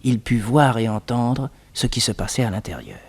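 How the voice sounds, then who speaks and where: A man's voice: medium pitch, lively intonation, moderate pace, a little mellow, husky. 1960s radio serial narrator, male, mid-range voice, scripted adventure storytelling.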